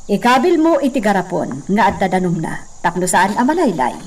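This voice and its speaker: A woman's voice: mid-range voice, sing-song, brisk, lightly acted. A woman playing a well-off señora and mother in a radio drama, used to giving orders to her servant. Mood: commanding. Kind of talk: Ilocano radio drama acting. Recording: distant, noisy track.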